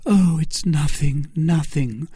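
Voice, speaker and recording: Man putting on a high-pitched, fervent, scheming noblewoman. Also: takes it slowly, warm tone, husky, no room sound, exaggerated voice. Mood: anguished. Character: passionate, scheming noblewoman, beautiful and flattering.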